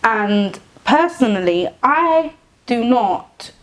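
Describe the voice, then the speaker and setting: A woman's voice: a little high, animated, slow pace, rough recording. A woman in her late twenties in a casual podcast debate, earnestly defending her view and talking over the men. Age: late 20s. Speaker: podcast panelist.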